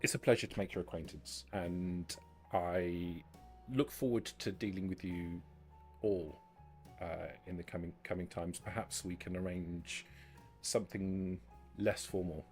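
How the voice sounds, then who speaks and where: Tabletop game role: guarded, courteous female vampire diplomat acted by a man, low voice, formal and measured, soft music behind. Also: close to an everyday voice.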